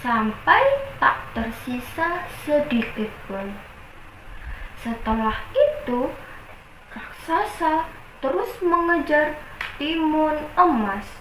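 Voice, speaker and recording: A young woman student telling a folk tale in a dramatic, exclamatory way, with drawn-out cries of wonder. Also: high-pitched, expressive, fairly bright tone, roomy sound, slight background noise, poor audio. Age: young adult. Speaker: student storyteller. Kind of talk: animated folk tale storytelling.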